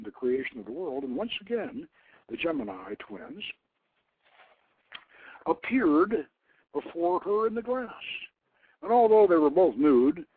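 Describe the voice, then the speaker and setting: An older man hosting a radio show, reading aloud and commenting informally in a deliberate storyteller's manner. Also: on the high side, breathy edge. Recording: narrow phone-like line, dry.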